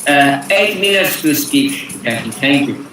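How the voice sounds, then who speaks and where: A man with an Indian accent moderates a formal online panel, courteous and a little hesitant. His voice is slightly high for a man and sounds very echoey, set back from the mic. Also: animated, a little slow, sharp tone. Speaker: webinar chair and moderator. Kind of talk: formal but unscripted moderating. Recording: very echoey, distant mic, faint background noise.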